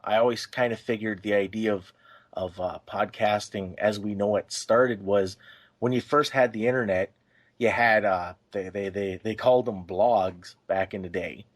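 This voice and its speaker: A man's voice: fairly low pitch, fairly even intonation, normal speed. A man talking casually as a co-host in a podcast discussion.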